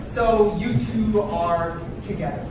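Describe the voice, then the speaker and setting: A woman's voice: mid-range voice, slow, music in the background, lightly acted. A woman playing a sensitive, sarcastic, jealous twenty-five-year-old party host in a staged play reading. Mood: wounded, sarcastic. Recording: echoey hall, distant noisy mic.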